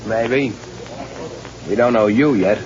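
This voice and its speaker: An actor in an old radio drama playing a sly, tough small-time gangster with a slangy, guarded way of talking. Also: male voice, mid-range voice, slow, a little mellow, close to an everyday voice. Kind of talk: 1930s radio gangster acting. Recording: muffled, noisy old radio recording with background sound.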